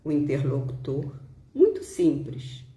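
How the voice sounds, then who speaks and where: A woman with a Brazilian Portuguese accent leading a devotional reflection with prayer and reading, solemn and deliberate, pausing between short phrases. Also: low-pitched, wildly swooping pitch, dark tone. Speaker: volunteer leader of a spiritist prayer live stream. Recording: roomy, echoing home live stream.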